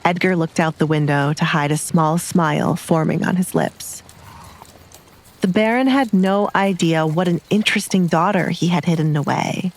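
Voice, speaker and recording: A woman's voice: fairly low pitch, normal speed, poor audio. A woman narrator reading scripted romance fiction as a storyteller.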